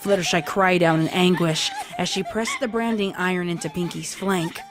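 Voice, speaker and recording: A woman's voice: lowish voice, normal speed, over music. A woman reading a scripted story as a dramatic narrator.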